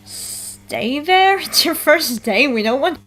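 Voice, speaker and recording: Woman playing a bossy goddess's daughter giving orders, high voice, takes her time, exasperated, musical table read. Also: expressive, takes it slowly, fairly bright tone, full character voice. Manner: exasperated, commanding. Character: bossy young strategist daughter of wisdom goddess.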